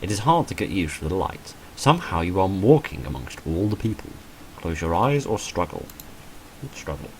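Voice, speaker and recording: A man's voice: low-pitched, big pitch swings, moderate pace, darkish tone, slight background noise, rough recording. A man reading visual novel text aloud dramatically, with dry, understated wry commentary in between. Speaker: gamer recording a playthrough.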